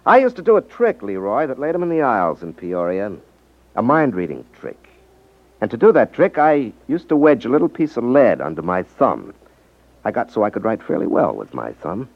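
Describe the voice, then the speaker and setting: An actor playing a cool, sardonic detective-magician in a 1950s radio drama. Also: male voice, fairly low pitch, big pitch swings, moderate pace, dry recording. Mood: smug explaining. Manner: sardonic, unruffled. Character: cool, wry male detective-magician who exposes swindlers. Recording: dull 1950s radio broadcast recording.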